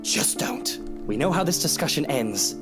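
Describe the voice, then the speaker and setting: A man's voice: a little high, expressive, normal speed, over music, lightly acted. A man voicing a short-tempered, wealthy husband in a visual novel, clipped and dismissive. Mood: irritated.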